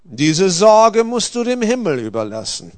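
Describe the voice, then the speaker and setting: Man playing a solemn, admonishing angel, slow and high. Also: sing-song, dry recording. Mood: gently firm. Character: Gabriel, stern but gentle angel. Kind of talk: dramatic story reading.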